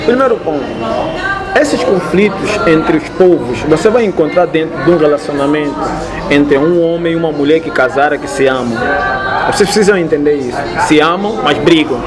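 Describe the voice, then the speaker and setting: A man's voice: a little high, normal speed, a loud crowd. A man from Angola with an Angolan Portuguese accent, a guest explaining at length and often checking that he is understood. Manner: didactic, keeps checking if understood. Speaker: interview guest. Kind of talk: explanatory interview talk.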